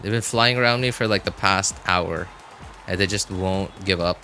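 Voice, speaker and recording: A man's voice: low-pitched, normal speed, music in the background. A man livestreaming games, chatting casually with viewers in a rambling, hesitant way, often backtracking and hedging. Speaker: game livestreamer.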